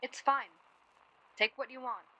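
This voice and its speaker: Sharp, guilt-tripping reluctant hostess for period radio drama; bright, higher-pitched, sarcastic. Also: female voice, steady tone, measured pace, lo-fi sound, heavily acted. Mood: terse. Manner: sarcastic, needling. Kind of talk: radio play acting, 1930s period drama.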